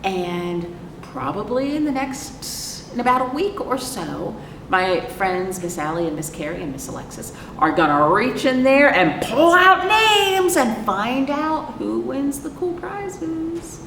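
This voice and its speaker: A woman hosting a children's storytime as a librarian, reading aloud and chatting in an animated way, putting questions to young listeners. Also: a little high, slow pace. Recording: echoey reverberant room with background noise.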